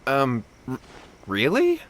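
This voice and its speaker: A man's voice: medium pitch, wildly swooping pitch, slow pace, a bit bright, slight background noise, full character voice. Earnest, anxious young man. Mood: deferential. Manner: earnest, pleading, deferential. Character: earnest, anxious, deferential young man worried for his brother. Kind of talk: anime game voice acting. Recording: music behind the voice.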